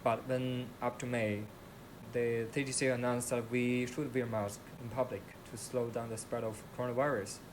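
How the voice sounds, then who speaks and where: A man's voice: medium pitch, little pitch movement, moderate pace, background noise. A man hosting a video, introducing it and asking passers-by friendly, direct questions. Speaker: host and street interviewer.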